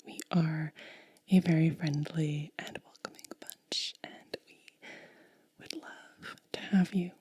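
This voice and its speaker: Breathy whispering woman, slow ASMR. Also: low-pitched, fairly even intonation, no room sound. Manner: gentle, unhurried. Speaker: ASMR video creator and host. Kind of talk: soft-spoken ASMR. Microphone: close microphone.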